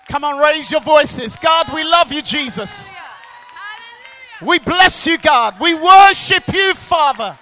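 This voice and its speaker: Fifties guy preaching as visiting pastor, bright punchy voice, yells praise then chats n laughs. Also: extremely high pitch, animated, slow, some chatter behind. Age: 50s. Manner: loud fervent worship calls, then chatty storytelling with laughs. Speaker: guest pastor. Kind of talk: sermon with worship and personal story.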